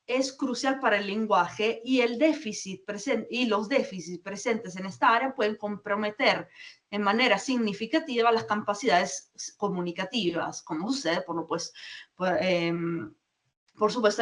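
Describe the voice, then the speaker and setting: A woman presents her research at an online conference, pausing often and correcting herself mid-phrase. Her voice sounds a little distant from the microphone, as if over a video call. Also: medium pitch, fairly bright tone. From Peru. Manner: halting, many fillers and restarts. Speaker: academic presenter. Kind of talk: conference talk.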